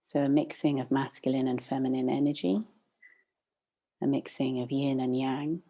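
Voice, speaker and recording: Female meditation guide, deep relaxed tone with creaky edges, unhurried and gently instructive. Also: rough recording. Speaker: meditation teacher.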